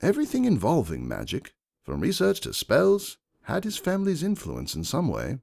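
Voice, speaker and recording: A man voicing a shrewd, composed aristocratic unicorn stallion who narrates his own story in a measured, calculating way. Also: medium pitch, huge pitch swings, creaky, a little mellow, pitched above the performer's own voice, heavily acted. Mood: calm, guarded. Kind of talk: first-person dramatic fan fiction narration.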